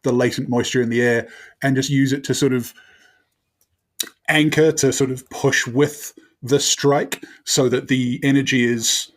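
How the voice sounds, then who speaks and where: A man talking casually as a tabletop game player, with a halting, wry manner. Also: mid-range voice, steady tone.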